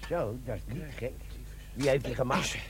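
An actor playing a pompous, jovial and portly army sergeant in a comic radio play. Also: male voice, medium pitch, moderate pace, a crowd in the background, natural voice. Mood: self-satisfied.

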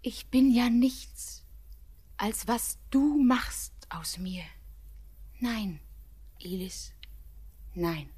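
An actress playing an anguished, devoted young bride in a classic German radio drama, with halting, impassioned poetic diction. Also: female voice, fairly high pitch, expressive, darkish tone, full character voice. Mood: despairing, whispering. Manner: halting, breathy, impassioned declamation.

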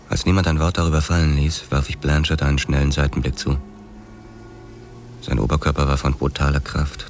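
Cool young cop narrator, noir radio drama: extremely low pitch, understated, music underneath. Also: male voice. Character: cool young boxer cop telling his own story. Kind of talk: first-person noir narration.

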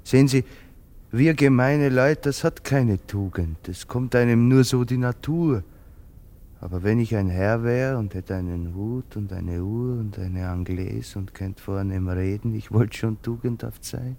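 An actor playing a poor, humble soldier in a radio drama, haunted and fearful, with halting, plain folk speech. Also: male voice, on the low side, creaky, warm tone. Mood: humble, earnest.

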